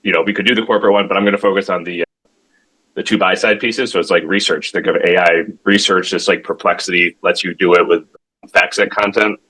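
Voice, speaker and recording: Tech founder, male, deep but crisp-edged voice, walking through a product informally, halting and conversational. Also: fairly even intonation, sharp tone, poor audio. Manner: halting, self-correcting, conversational, checks in with "right?". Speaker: tech company founder demonstrating his product. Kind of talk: informal product demo explanation.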